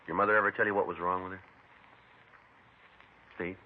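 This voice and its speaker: A terse police detective voiced by a man lays out the facts in a very low, clipped, matter-of-fact voice and moves briskly through the case. It comes through thin and phone-like, as in an old radio crime broadcast. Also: flat delivery, natural voice. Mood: calm questioning. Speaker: detective and narrator. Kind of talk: 1950s radio crime drama. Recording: tinny vintage radio broadcast.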